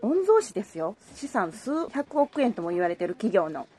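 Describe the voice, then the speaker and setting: Animated and swooping, an actress as a nosy, excitable young office junior in a radio drama, recorded with faint noise behind her. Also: medium pitch, normal speed, natural voice. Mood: nosy, excited. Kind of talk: Japanese radio drama acting.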